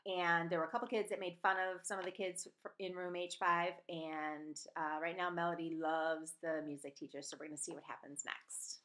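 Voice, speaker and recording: A woman's voice: lowish voice, little pitch movement, normal speed, hardly any pauses, pushed and bright. Woman reading a story aloud. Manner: acts out dialogue in changed voices. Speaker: reader of a children's novel. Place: small room at home, indoors. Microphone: webcam or laptop microphone at desk distance.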